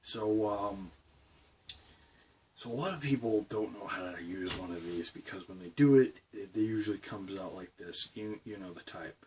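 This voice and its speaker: A man's voice: on the low side, moderate pace, darkish tone, recorded from a distance, a little hiss or hum. A man with a Boston accent rambling casually to his camera from his bedroom studio. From Massachusetts, USA. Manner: rambling, meandering talk. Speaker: vlogger.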